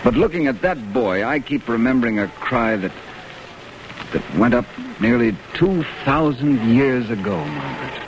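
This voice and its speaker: A man's voice: mid-range voice, lively intonation, moderate pace, dull audio, natural voice. An actor playing a kindly, righteous ship's captain with a fatherly, oratorical manner in an old radio drama. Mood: solemn. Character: kindly, righteous middle-aged ship's captain, protective and grave. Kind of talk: 1940s radio acting. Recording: old radio recording, faint noise.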